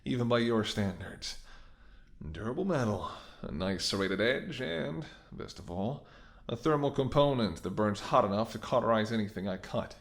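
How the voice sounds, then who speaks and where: A man's voice: lowish voice, animated, normal speed, vocal fry. A man playing a menacing, smirking mercenary ex-bodyguard in an audio roleplay, with a taunting, sardonic way of talking. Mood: smug menace. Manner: taunting, sardonic, swearing, drops to whispers. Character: menacing, smirking male mercenary ex-bodyguard holding someone at gunpoint. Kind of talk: dramatic audio roleplay spoken to the listener.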